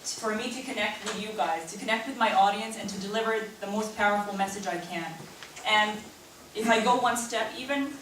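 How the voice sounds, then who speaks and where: A woman's voice: mid-range voice, fairly bright tone. A woman speaking earnestly to a live audience at a talk event, answering questions at length. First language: not German; English not stated as first. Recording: very echoey room, distant mic, faint noise.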